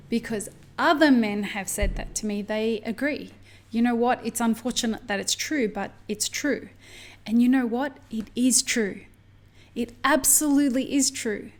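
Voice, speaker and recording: An Australian woman hosting a podcast, talking candidly and reflectively on her own, rambling a little and swearing now and then. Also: a little high. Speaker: podcast host. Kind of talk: solo podcast monologue.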